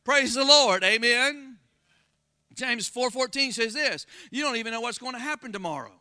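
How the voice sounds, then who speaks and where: A pastor with a Southern Florida accent preaching a sermon, folksy and calling out to the congregation for responses. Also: male voice, very high-pitched, animated, normal speed, pushed and bright, smooth, no room sound, studio quality. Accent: Floridian Southern American. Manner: call-and-response, folksy, rising to emphatic exclamations.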